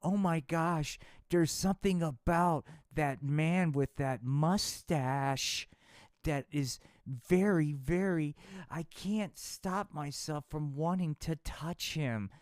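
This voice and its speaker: Man putting on a squeaky prissy woman doll, fretful and shrill, dry close sound. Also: takes it slowly, darkish tone, no room sound. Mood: swooning.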